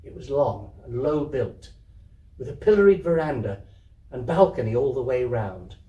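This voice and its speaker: A man giving an expressive live reading of a literary story indoors. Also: mid-range voice, moderate pace, muffled recording. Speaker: theatre troupe reader. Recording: roomy echo, distant microphone.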